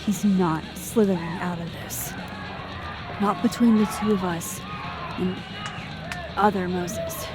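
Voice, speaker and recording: A woman playing a wry young woman with a quiet mischievous streak in a video game, speaking in a dry, understated, teasing way. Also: fairly low pitch, steady tone, slow, pauses often, music in the background, crowd noise. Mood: determined. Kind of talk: naturalistic video game acting.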